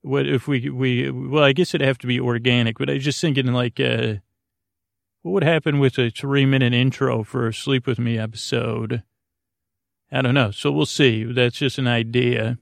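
Gravelly, monotone man rambling haltingly. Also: mid-range voice, no echo, studio quality. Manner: meandering, halting, stammering, loses his thread. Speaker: podcast host. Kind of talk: rambling, unscripted bedtime monologue.

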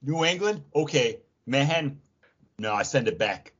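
Animated, slightly husky man bantering on a podcast. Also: medium pitch, moderate pace, lo-fi sound. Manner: teasing, jokey, acts out imagined quotes. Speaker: podcast co-host. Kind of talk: casual bantering podcast chat.

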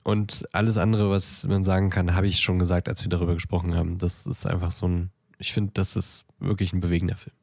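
A man's voice: sits low, flat delivery, fast, vocal fry, hardly any pauses, darkish tone, dry recording, studio quality. A man co-hosting a podcast, chatting casually about films and often searching for words. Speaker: podcast co-host.

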